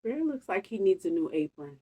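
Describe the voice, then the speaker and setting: A woman reading a picture book aloud as a storytime host, expressive and chatty with casual asides. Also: mid-range voice, fairly quick, slightly rough, rough recording. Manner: animated, chatty, savouring phrases. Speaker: storytime reader.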